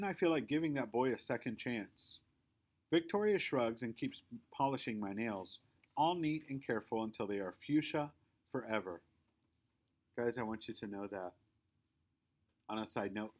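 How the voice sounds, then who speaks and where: A man reading a children's story aloud as narrator, in an expressive, emphatic read-aloud style. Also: mid-range voice, normal speed, long pauses. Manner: animated, emphatic. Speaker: storybook reader.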